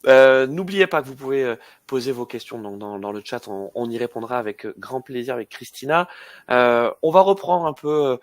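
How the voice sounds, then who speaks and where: A man's voice: mid-range voice, lively intonation, a little fast, no echo. A man hosting an alumni webinar, speaking hesitantly with many fillers and repeated words. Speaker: webinar host. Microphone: video call.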